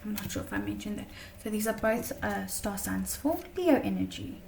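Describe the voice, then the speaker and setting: A woman teaching a tarot lesson in a chatty, casual way, her voice slightly croaky from a long day of talking, often checking in with "okay?". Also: mid-range voice, lively intonation, moderate pace, darkish tone, distant mic, a little hiss or hum. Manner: chatty, many fillers and "okay?" check-ins.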